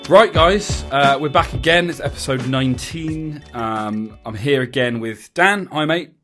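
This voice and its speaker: A man's voice: medium pitch, measured pace, pushed and bright, soft background music. An English man hosting a rugby podcast, chatting casually and rambling with frequent restarts and ums. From England. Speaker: podcast host. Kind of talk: casual chat.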